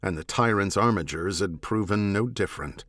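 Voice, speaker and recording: Low-voiced man narrating a serious audiobook. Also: fairly bright tone, no room sound, clean studio sound. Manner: measured, serious. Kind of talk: scripted dramatic narration of a science fiction novel.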